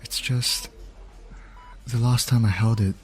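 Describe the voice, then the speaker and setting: A man playing a tender, apologetic medic at a bedside, soft-spoken and hesitant, in an ASMR roleplay. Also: mid-range voice, fairly even intonation, takes it slowly, a little hiss or hum, close to an everyday voice. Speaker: voice actor in an audio roleplay. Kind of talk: intimate ASMR comfort roleplay.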